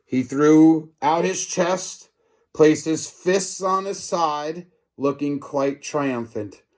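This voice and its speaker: A man reading a story aloud to children in an expressive, dramatic way, adding casual asides. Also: a little high, takes it slowly. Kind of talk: animated read-aloud narration with asides.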